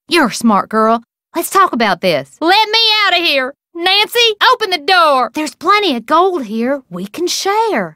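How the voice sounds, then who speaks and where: A wily, greedy small-town woman from a video game, with a folksy rural American accent. Her clear, smooth voice sits a little high and bounces around in coaxing, colloquial swings, recorded close and dry. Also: a bit bright, no room sound. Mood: pleading, desperate. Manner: folksy, drawling, colloquial, sing-song coaxing. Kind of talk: video game character acting.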